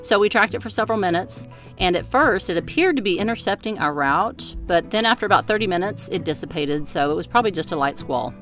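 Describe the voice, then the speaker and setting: Calm woman narrating a sailing vlog. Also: fairly low pitch, fast, faint music behind. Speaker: vlogger narrating a sailing trip. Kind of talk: scripted voice-over narration.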